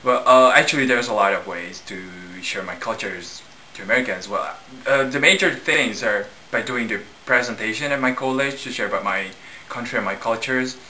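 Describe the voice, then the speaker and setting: Male college student, strikingly sharp tone, medium pitch, casual halting testimonial. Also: a little hiss or hum, rough recording.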